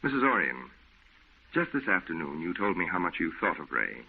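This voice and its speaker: A man's voice: low voice, sing-song, a little fast, vocal fry, slightly rough, exaggerated voice. An actor playing a skeptical, blunt, folksy man in an old radio drama, sounding exasperated. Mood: firm, exasperated. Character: skeptical, exasperated, no-nonsense man debunking a ghost. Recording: tinny, phone-like old radio recording.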